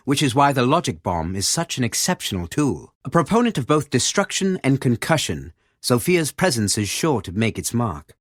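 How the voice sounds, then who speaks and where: A man voicing an erudite, formal video game match commentator with a measured, theatrical delivery of scripted commentary. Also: medium pitch, fairly bright tone, no room sound, clean studio sound. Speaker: game announcer. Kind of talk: scripted esports-style commentary.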